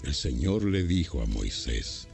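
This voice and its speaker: Man narrating bible drama, gravelly low voice, expressive delivery, reading a script. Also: measured pace, soft background music.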